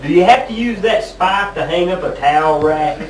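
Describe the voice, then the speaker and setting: Man playing a fussy, high-pitched, animated husband. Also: moderate pace. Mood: complaining. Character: fussy, complaining husband in comic marital bickering. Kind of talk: comic stage sketch. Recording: distant echoey hall, audience noise.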